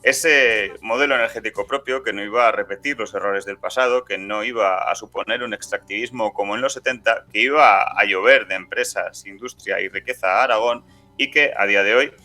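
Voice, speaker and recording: A man's voice: fairly low pitch, brisk, bright, forceful tone, soft background music. A man hosting a political podcast, speaking in a sardonic, rhetorical way. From Aragon, Spain. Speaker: podcast host. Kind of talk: political podcast editorial and co-host banter.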